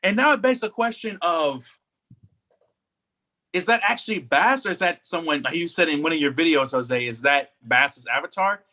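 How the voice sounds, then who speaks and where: A man with a very bright, forward voice, pitched a little high. He talks as a podcast co-host, casually and with animation, full of slang and firm opinions. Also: animated, moderate pace. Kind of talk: casual pop culture chat.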